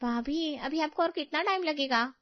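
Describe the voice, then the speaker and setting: A woman voicing an impatient, demanding young college girl in a family story, hurried and whiny. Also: high voice, no echo, highs cut off, voice pushed up, lightly acted.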